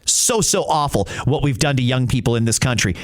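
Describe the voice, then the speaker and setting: A man's voice: medium pitch, lively intonation, brisk. A man with a Canadian accent hosting an opinion podcast, speaking emphatically and with strong views. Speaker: podcast host. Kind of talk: opinion podcast commentary, reading listener messages.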